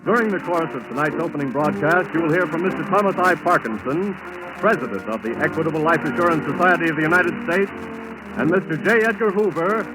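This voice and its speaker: A man's voice: on the high side, quick pace, rough recording. A man announcing a 1940s radio program in a formal broadcast style. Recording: old 1940s radio broadcast, music behind. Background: prominent music.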